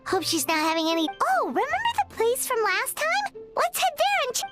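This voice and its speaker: Tiny squeaky fairy mascot, super high, swoops all over, music behind. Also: female voice, a little fast, full character voice. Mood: curious. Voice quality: squeaky, childlike. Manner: excitable, refers to self in third person. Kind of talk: anime game voice acting.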